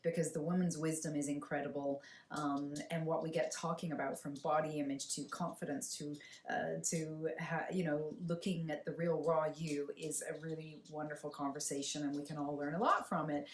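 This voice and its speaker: A woman in her forties hosting a casual interview, gushing and animated, breaking into gasps and laughs. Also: low-pitched, normal speed, hardly any pauses. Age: 40s. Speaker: podcast host. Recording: noisy background.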